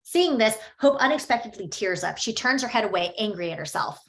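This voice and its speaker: A woman reads the stage directions of a screenplay at a table read. Her delivery is steady and matter-of-fact. Her voice sits at a middle pitch. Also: fairly quick, vocal fry, a crowd in the background. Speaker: narrator reading stage directions. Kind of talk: reading a screenplay aloud.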